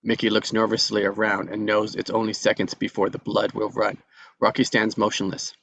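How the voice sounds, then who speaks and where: A man reading the stage directions at a live script table read, steady and measured. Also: lowish voice, dry recording.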